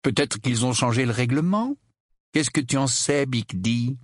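A man's voice: mid-range voice, animated, measured pace, no room sound, pitched above the performer's own voice. A man voicing a defiant, sarcastic teenage boy who taunts and mocks, in a storybook reading. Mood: smug, taunting. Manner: mocking, taunting, dry.

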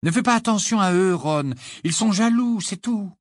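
A bossy, earnest teenage schoolgirl from a storybook, quick to scold, pitched noticeably higher, as a male narrator puts her on. The sound is dry and close. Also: lively intonation, no echo.

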